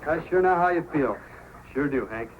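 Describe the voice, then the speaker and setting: A man's voice: a little high, lively intonation, normal speed, noisy background, lightly acted. An actor playing an eager, earnest young male helper in old-time radio drama style. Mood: helpful. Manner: earnest, pleading. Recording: muffled old radio recording with music.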